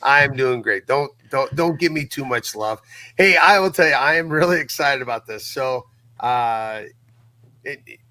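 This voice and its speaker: A man's voice: medium pitch, lively intonation, moderate pace, bright, forceful tone. A man chatting casually as a podcast guest, enthusiastic and emphatic, often stammering and repeating words as he goes. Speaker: podcast guest, co-creator of an audio drama.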